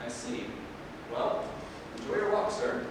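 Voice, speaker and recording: A man's voice: unhurried, noisy background, natural voice. Far from the microphone in a reverberant hall, a man plays a young fairy-tale prince. He speaks formally and forthrightly, courteous yet firm, in a live stage reading. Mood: polite, suspicious. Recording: very echoey hall, distant microphone.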